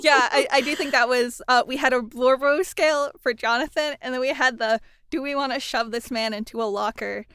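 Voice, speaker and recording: Smooth-voiced woman interviewer. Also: sits high, brisk, hardly any pauses, fairly bright tone, dry recording, clean studio sound. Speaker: interviewer and co-producer. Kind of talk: casual interview.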